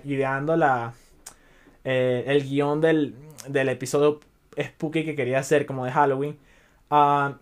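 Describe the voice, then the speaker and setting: A young man from Venezuela with a Venezuelan accent, hosting a casual solo podcast in a loose, chatty way that drops English words in. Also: on the high side. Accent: Venezuelan Spanish. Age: young adult. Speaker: podcast host.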